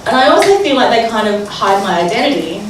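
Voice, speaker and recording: A woman's voice: medium pitch, sing-song, quick pace. Aussie woman interpreting, chatty with lots of fillers, sounds far away in a big echoey room. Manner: chatty, filler-heavy, amused. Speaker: sign language interpreter voicing a deaf speaker. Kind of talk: interpreted first-person anecdote. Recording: echoey hall, far from mic, clear noise.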